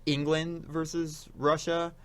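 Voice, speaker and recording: A man's voice: fairly high pitch, level tone, slow pace, fairly bright tone, smooth. A man speaking as a guest co-host, chatting casually and jokingly about video games in a laid-back way. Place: small radio studio. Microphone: studio microphone, headphones on.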